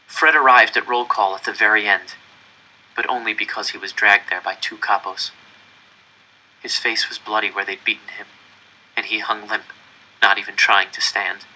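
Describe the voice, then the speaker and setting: A bright-voiced man reading a story. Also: on the low side, rough recording. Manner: earnest, dramatic first-person storytelling. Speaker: audiobook reader. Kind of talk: reading a novel aloud.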